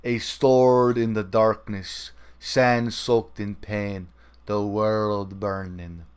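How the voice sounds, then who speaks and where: A man acts out a troubled dwarf from a comic book. The delivery is portentous and grave, with words drawn out slowly in solemn, haunted reflection. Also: fairly low pitch, little pitch movement, clean tone. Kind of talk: dramatic comic book reading.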